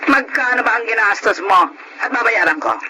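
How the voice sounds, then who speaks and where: Meddling pinay lola in a radio drama, bossy, high and really animated. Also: female voice, normal speed, slight background noise, poor audio. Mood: insistent. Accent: Filipino. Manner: bossy, insistent. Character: domineering, meddling grandmother and mother-in-law. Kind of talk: Filipino radio drama acting.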